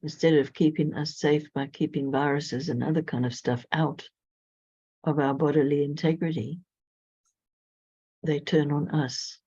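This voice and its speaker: A woman speaking as an astrologer guest in an interview, thoughtful and measured. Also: low voice, fairly even intonation, warm tone, slightly rough, no echo. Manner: thoughtful, measured, with frequent "you know". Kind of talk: reflective conversational interview. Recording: dull lo-fi audio.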